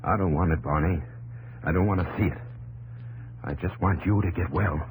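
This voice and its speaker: A man's voice: deep voice, moderate pace, close to an everyday voice, rough recording. An actor playing a grave, remorseful frontier father in a 1950s radio drama. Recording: old radio broadcast with hiss.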